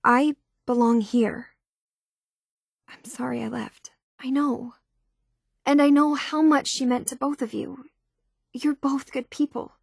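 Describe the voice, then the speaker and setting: A woman's voice: high voice, steady tone, takes it slowly, gravelly, pauses often, slightly rough, no room sound, studio quality, heavily acted. An actress playing an earnest, anxious and curious young runaway woman, speaking hesitant, thoughtful dialogue for a video game. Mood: pleading, apologetic.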